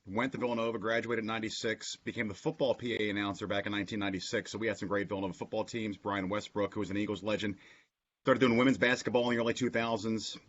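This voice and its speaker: Male announcer from Philadelphia, forties, rapid conversational talk, dull lo-fi sound. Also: lowish voice, fairly even intonation, fairly bright tone. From Philadelphia, United States. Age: 40s. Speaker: guest, college sports public address announcer. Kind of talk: conversational career recap.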